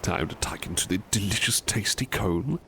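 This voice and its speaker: Man playing a gravelly, gushing polite butler. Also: lowish voice, lively intonation, fairly bright tone, slight background noise. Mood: savoring, content. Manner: genteel, effusive, halting with repeated words. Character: devoted, polite, childlike-curious male butler, gushing with delight. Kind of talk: ASMR roleplay, personal attention to the listener.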